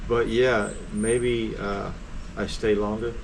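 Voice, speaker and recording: A man's voice: lowish voice, flat delivery, takes it slowly, gravelly, far from the mic. An older man with grandchildren, a guest talking in a joking, hesitant, stammering way. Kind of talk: casual interview. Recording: noisy location recording.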